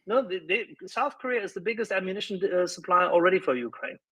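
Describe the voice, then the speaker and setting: A man's voice: high voice, fast, bright, forceful tone, slightly rough. A man on a live audio panel arguing politics emphatically, insistent and repeating words for emphasis.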